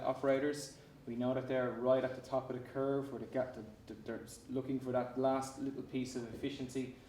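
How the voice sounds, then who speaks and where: A man with an Irish accent giving a startup pitch, often restarting his sentences around "uh" and repeated words. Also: creaky, a little mellow. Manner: frequent fillers and repeated restarts. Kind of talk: pitch presentation. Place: conference hall. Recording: echoey hall, distant mic, faint noise.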